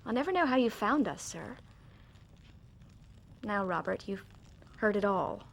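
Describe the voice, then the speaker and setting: A young bride in a period radio drama. Her voice is fairly high, and she speaks earnestly and frankly, with heartfelt contrition. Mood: grateful.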